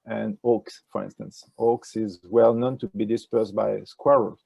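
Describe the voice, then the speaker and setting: An older man with a French accent talks in a gravelly, mellow voice. He answers in a halting way, full of fillers and restarts. The sound is dry, from a wired earphone mic on a video call. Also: fairly low pitch, steady tone, no room sound, dull audio. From France. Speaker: ecology professor, interview guest. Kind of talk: casual interview answers. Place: indoors with a virtual nature backdrop.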